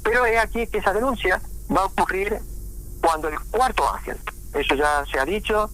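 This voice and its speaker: A man preaching informally in a halting way, with frequent restarts and fillers. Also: high-pitched, fairly bright tone, low-quality recording. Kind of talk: informal sermon talk on prophecy.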